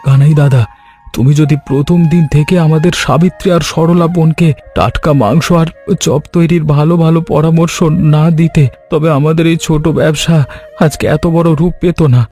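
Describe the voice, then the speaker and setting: A man's voice: a little high, fairly even intonation, moderate pace, mellow, soft background music, close to an everyday voice. A man voicing an honest, grateful village shopkeeper in a cartoon story, speaking in an earnest, deferential way. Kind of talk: Bengali animated story voice acting.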